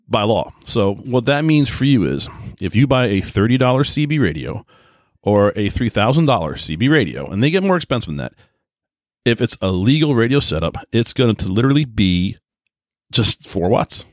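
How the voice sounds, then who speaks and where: Middle aged american guy just rambling casually, kinda low voice, keeps restarting sentences, really dry close sound. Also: big pitch swings, dry recording. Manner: informal, halting, often rephrasing himself. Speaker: podcast host, radio hobbyist.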